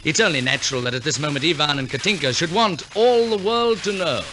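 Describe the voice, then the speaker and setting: A man's voice: on the high side, lively intonation, brisk. A man narrating a scripted 1940s radio operetta as announcer, in a theatrical, arch and wry storytelling manner. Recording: old 1940s radio recording with orchestral music.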